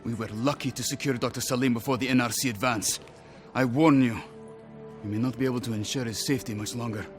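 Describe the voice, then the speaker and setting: An actor playing a weary but resolute Egyptian army lieutenant, giving formal, measured briefings in a video game. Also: male voice, medium pitch, steady tone, soft background music, lightly acted. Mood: grim, warning. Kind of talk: video game cutscene acting.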